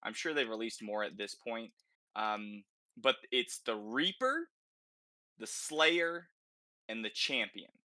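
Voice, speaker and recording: A man presents game details in a loose, informal podcast style. His voice is bright and crisp-edged, recorded dry and close. He speaks conversationally, leaning on filler words like "kinda" and "like". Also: fairly low pitch, expressive, slow pace, dry recording. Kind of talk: casual podcast discussion of a video game.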